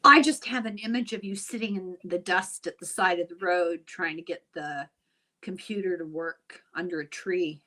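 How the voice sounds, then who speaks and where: A woman's voice: lowish voice, normal speed, sharp tone. A woman speaking as the host of a casual, conversational chat.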